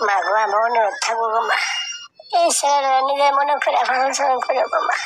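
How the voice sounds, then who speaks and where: A woman's voice: high-pitched, fast, noisy background, lightly acted. A woman playing a kindly, pious village aunt in a cartoon, coaxing earnestly and repetitively in a rural Bangladeshi accent. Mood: earnest advising. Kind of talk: Bangla moral story cartoon dialogue. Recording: loud music over the dialogue.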